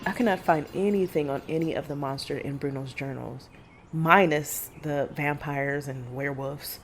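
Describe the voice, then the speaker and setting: A woman acting a low-voiced, grave female monster hunter. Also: normal speed, faint music behind. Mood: reflective. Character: Stella, grave monster-hunter mother recounting past horrors. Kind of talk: audio drama storytelling. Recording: faint background noise.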